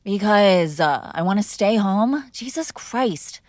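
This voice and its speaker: A woman's voice: mid-range voice, lively intonation, takes it slowly, a little mellow, no room sound. A young woman performing an audio roleplay as a defiant, sarcastic mob boss's daughter arguing with her father. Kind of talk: scripted ASMR girlfriend roleplay.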